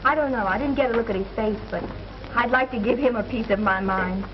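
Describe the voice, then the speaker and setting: A woman's voice: a little high, fairly quick, natural voice. An actress playing a guarded young showgirl in a 1930s American film comedy, with dry, cool retorts. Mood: wry. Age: young adult. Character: wry, guarded young showgirl down on her luck. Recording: muffled, noisy 1930s film soundtrack.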